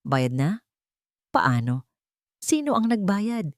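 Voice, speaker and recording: A woman's voice: fairly low pitch, sing-song, slow, no echo, studio quality. A woman with a Filipino accent voicing a tired, tender, determined expectant mother in an audio romance. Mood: puzzled. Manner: tender, tired, soft-spoken. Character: weary, tender, determined pregnant young mother.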